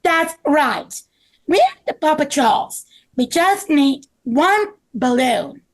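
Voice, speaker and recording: A woman's voice: sits high, animated, slow pace, poor audio. A woman voicing an eager rescue pup in a children's story table read, with theatrical line delivery. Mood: earnest, eager.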